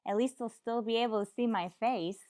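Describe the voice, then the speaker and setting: A woman's voice: fairly high pitch, fairly quick, darkish tone, no echo, natural voice. A woman playing an eager, naively vain young lady in an old-time radio comedy.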